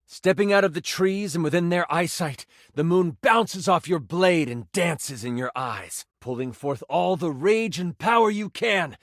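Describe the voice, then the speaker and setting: A man voicing an excitable, nerdy game master, narrating tabletop fantasy dramatically and dropping into casual, hesitant asides. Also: fairly high pitch, no echo, clean studio sound. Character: living D20 die, excitable nerdy male game master.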